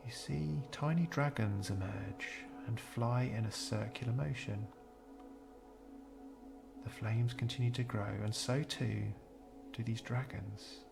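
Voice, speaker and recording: A man narrating a scripted guided meditation in a measured, soothing, gentle way, pausing between phrases. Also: mid-range voice, vocal fry, over music.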